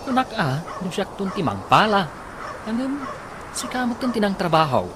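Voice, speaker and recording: An actress playing an easygoing, inquisitive villager in an Ilocano radio comedy drama, with an Ilocano accent. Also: female voice, medium pitch, very animated, moderate pace, natural voice. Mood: casual. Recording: noisy track with outdoor ambience.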